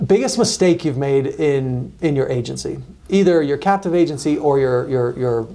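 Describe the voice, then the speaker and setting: A male podcast host with a voice of middling pitch. He talks in an easy, chatty way, asks questions, and fills the gaps with short agreeing replies. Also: expressive, moderate pace, mellow. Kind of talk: casual podcast interview.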